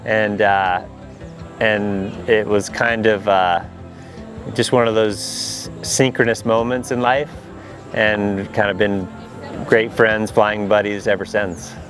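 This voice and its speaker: A man's voice: lowish voice, fairly even intonation, slow, fairly bright tone, faint music behind. A man, an adaptive paraglider pilot, speaking plainly and matter-of-factly in a documentary interview.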